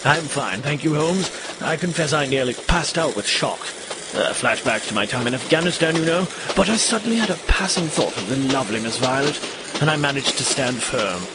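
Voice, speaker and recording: Guy doing a polite flustered doctor sidekick, medium pitch, really loud engine noise behind. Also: animated, brisk, natural voice. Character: earnest, excitable English doctor, loyal sidekick. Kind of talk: audio comedy drama acting.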